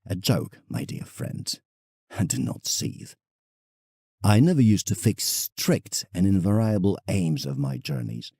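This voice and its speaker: A man's voice: low-pitched, very animated, a little slow, dark tone, breathy edge, no echo, clean studio sound, full character voice. A man playing a proud, reflective English landscape painter in an audio drama, telling his travels formally as a letter to a friend. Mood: fond, teasing.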